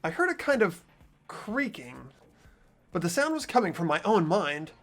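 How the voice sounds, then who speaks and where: Game protagonist voiced by a woman: confused teen student, lively swings in pitch, dramatic inner monologue. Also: normal speed. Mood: uneasy, introspective. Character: bewildered teenage student narrating inner thoughts. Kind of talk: fan-voiced video game acting.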